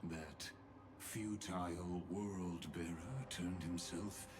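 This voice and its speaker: A man's voice: low voice, fairly even intonation, takes it slowly, noisy background. A man playing an ancient, theatrical, sinister schemer in a video game, with whispery, grandiose delivery. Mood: grandiose, ominous. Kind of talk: video game dramatic voice acting. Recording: music behind the voice.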